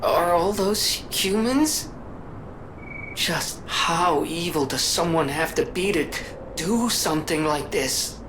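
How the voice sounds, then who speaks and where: Earnest, low-voiced fighter. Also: female voice, lively intonation, takes it slowly, background noise. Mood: horrified. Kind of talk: scripted video game character acting.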